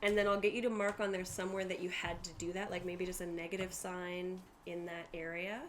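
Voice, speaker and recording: A woman's voice: fairly low pitch, little pitch movement, a little fast. A woman guiding players through a tabletop roleplaying game in a casual, encouraging, easygoing way. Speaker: game master. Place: room with a group at a game table. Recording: noisy room with group around.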